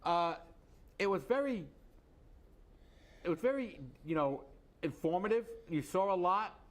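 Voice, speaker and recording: A man's voice: high voice, animated, unhurried. A man co-hosting a local political commentary show, recounting a public meeting in a plain, matter-of-fact way. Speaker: co-host.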